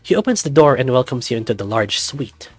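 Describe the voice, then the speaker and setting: A man reads second-person story narration, his voice husky and lively in pitch. It is recorded close and dry. Faint music plays behind him. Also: brisk, no echo.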